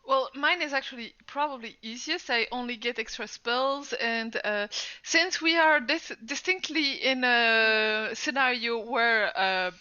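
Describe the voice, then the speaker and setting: A woman's voice: on the high side, a little slow, bright, forceful tone, clear, resonant tone, no echo, dull audio. A woman playing a tabletop game, explaining her cleric's new spells with a friendly manner. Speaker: player. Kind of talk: casual tabletop game chat.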